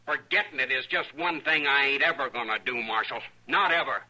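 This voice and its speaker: Piercing, bitter young frontier avenger. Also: male voice, medium pitch, brisk. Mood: bitter, resolute. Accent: American rural Western drawl. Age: young adult. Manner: folksy, insistent, accusing. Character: young man bitterly bent on avenging his father. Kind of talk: old-time radio western acting. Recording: bright, lo-fi old radio broadcast.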